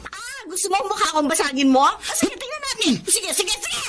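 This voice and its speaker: A woman's voice: very high voice, sing-song, brisk, background noise. A woman playing a demanding, sulky young girlfriend in a melodramatic radio drama, sounding aggrieved and complaining. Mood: furious, taunting.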